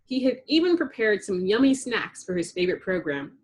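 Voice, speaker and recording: A woman reading a children's storybook aloud in an expressive narrating style. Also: medium pitch, brisk. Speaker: storybook reader.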